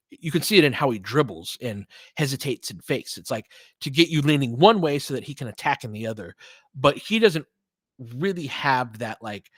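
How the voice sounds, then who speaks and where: A man with an average-pitched voice commentates live basketball in a loose, conversational way. He slips between short play calls and slangy analysis aimed at his viewers, thinking aloud as he goes. The sound is dry, with no room around it. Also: slightly rough, no room sound.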